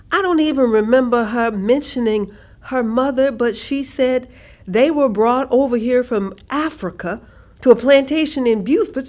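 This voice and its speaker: A woman playing a thoughtful grandmother in a staged reading, recounting family history in a measured, earnest way. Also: on the high side, a little mellow, natural voice.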